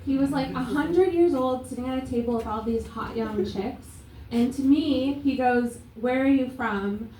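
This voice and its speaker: A young adult woman from Canada performing a stand-up set on a comedy club stage, deadpan and self-mocking with many fillers. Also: high-pitched, moderate pace, slight background noise. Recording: roomy live club sound from a distance.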